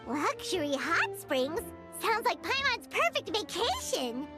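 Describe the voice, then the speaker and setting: A woman voicing an excitable, childlike little fairy companion in a video game, with a squeaky voice. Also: a little slow, exaggerated voice. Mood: excited. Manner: childlike, refers to herself in third person, exclamatory. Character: small floating fairy-like girl companion, excitable and food-loving. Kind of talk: video game character acting. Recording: game music playing behind.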